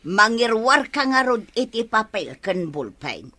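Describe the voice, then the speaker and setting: A nagging middle-aged village woman from a radio comedy. Her voice is high and piercingly bright, with an Ilocano accent and a scolding, exclamatory delivery. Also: big pitch swings, unhurried, exaggerated voice. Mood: prying.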